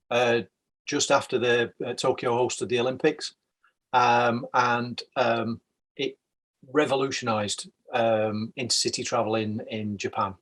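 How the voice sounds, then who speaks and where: Man explaining with steady ums. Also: medium pitch. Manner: informative, with many ums. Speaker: transport expert guest.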